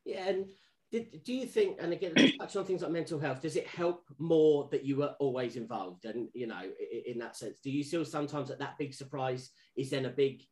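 A man's voice: mid-range voice, moderate pace, a little mellow. A man hosting a podcast, asking long, rambling questions with restarts. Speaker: podcast host. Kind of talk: podcast interview.

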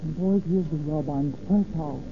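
Brash barfly on tinny old radio. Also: female voice, low voice, moderate pace, a crowd in the background. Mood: brash, chummy. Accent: American. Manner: slangy, tough, familiar. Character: brash, hard-boiled woman barfly posing as a dope addict. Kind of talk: 1940s-50s American crime radio drama acting. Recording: tinny, band-limited old radio recording, background hubbub.

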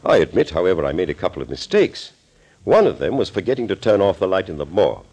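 Male mad doctor mastermind for 1940s radio thriller: low voice, sweeping intonation, urbane and amused. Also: sing-song, quick pace, a little mellow, husky, heavily acted. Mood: gleeful, boasting. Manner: urbane, amused, theatrical, mockingly courteous. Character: suave, gloating mad doctor, a master villain and impersonator. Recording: old scratchy 1940s radio recording.

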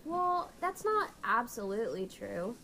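A woman's voice: on the high side, very animated, unhurried, pushed and bright, heavily acted. A woman playing a young woman who patiently explains history to her friend in a scripted amateur radio drama. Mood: chatty, friendly.

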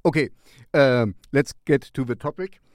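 A man's voice: medium pitch, a little mellow, no room sound, studio quality. A man hosting a music recommendation show in a casual, rambling, playful and distracted way. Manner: playful, distracted, halting delivery.

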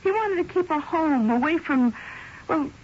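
A woman's voice: sits high, animated, normal speed, darkish tone. An actress playing a chatty, opinionated, gossipy woman who speaks colloquially in a radio drama. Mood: frank, gossipy. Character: gossipy, frank working-class woman, impatient with questions. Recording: muffled old radio broadcast.

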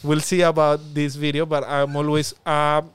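A man's voice: on the high side, monotone, moderate pace, clear, resonant tone, dry recording. A young man with a Spanish accent, speaking Spanish as his first language, talking earnestly in an interview, full of fillers and restarts. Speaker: guest. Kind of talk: reflective conversational interview.

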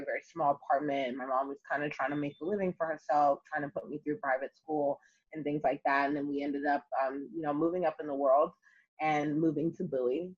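A young woman speaks as a guest, with a low, husky voice and a lively, emphatic way of telling her story. The recording sounds slightly muffled and lo-fi. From United States. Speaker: guest, city councilwoman. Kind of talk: conversational interview. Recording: muffled lo-fi audio.

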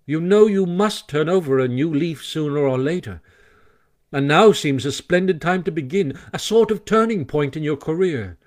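A man's voice: on the high side, expressive, normal speed, voice pushed up, natural voice. A storybook water rat, as a man puts him on, firm and earnest, raising his voice a touch above the narration. He lays down the law kindly, almost apologetic.

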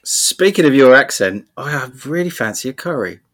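A man's voice: mid-range voice, expressive, normal speed, fairly bright tone. A man in casual comedy chat, making dry teasing jokes and laughing.

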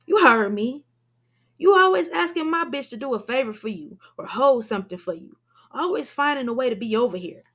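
A woman's voice: medium pitch, expressive, normal speed, gravelly, long pauses. Woman doing a jealous hot-headed young man. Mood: angry. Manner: blunt, cursing, street slang.

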